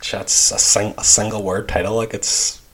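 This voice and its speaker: Low-voiced man thinking aloud. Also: little pitch movement, moderate pace, over a noisy crowd. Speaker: podcast co-host. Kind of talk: casual chat.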